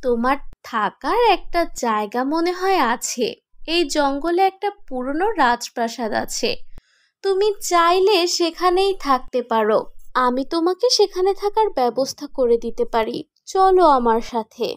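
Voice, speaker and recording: A woman's voice: high voice, expressive, moderate pace. A woman voicing a teasing, bossy but kindly young female ghost in a horror cartoon, given to mocking cackles. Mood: calm. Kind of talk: animated horror cartoon voice acting.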